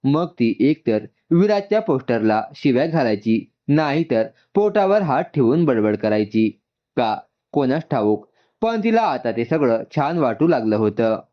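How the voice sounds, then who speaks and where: A male storyteller with a lively, swinging pitch reads a scripted tale. His voice sits in a middle register. The recording is a little dull and muffled. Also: a little slow. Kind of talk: scripted story narration with dialogue.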